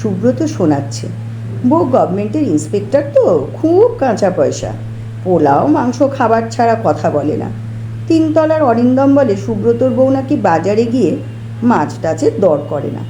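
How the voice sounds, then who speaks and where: Lively mellow woman telling a story. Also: a little high, moderate pace. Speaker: storyteller, audiobook narrator. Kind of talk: expressive scripted story narration. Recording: roomy echo, faint background noise.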